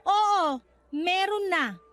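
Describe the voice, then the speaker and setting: A very high-voiced, weary young mother. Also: animated, extremely slow, fairly bright tone, full character voice. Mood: confused, exasperated. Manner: curt, exasperated. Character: weary, curt young mother rejecting an unwanted proposal. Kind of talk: Tagalog radio drama acting. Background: music bed.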